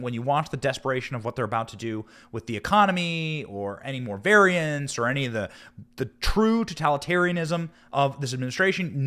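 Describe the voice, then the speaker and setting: An American man hosting a live political commentary show, speaking emphatically and dramatically, with rhetorical questions and stressed, drawn-out words. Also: fairly high pitch, a bit bright. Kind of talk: live political commentary with news read aloud.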